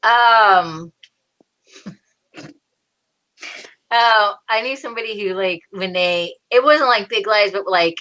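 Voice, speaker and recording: A woman guest on a chat show speaks with a bright, forward voice at a middle pitch. Her manner is understated and a little hesitant as she chats casually. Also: takes it slowly, pauses often, rough recording.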